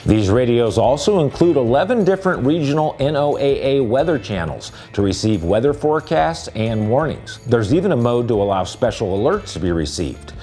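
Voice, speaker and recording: A man delivers a scripted product review as a presenter. His mid-pitched voice moves with some lively inflection, and music plays behind him. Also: normal speed, few pauses.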